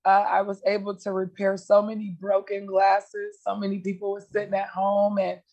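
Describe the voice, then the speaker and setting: A woman guest speaking on a community radio show over a video call. Her voice is slightly raspy, and she answers in a friendly, upbeat way. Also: medium pitch, monotone, normal speed, warm tone. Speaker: guest, small business owner. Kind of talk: interview answers.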